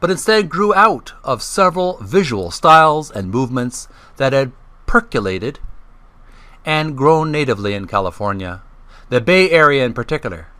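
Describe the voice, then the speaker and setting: Male gaming vlogger, animated intonation, bright tone, hesitant delivery, casual presenting and read-aloud. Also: medium pitch, moderate pace, no room sound. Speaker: host of a video gaming channel. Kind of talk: casual vlog intro and reading aloud from a book.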